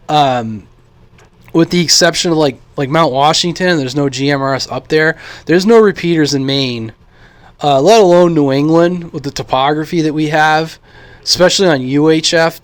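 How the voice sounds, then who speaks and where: A man's voice: a little high, dry recording. Rambling man with a dry, close sound. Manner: rambling, halting, thinking aloud. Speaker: radio hobby YouTuber. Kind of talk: casual unscripted vlog talk.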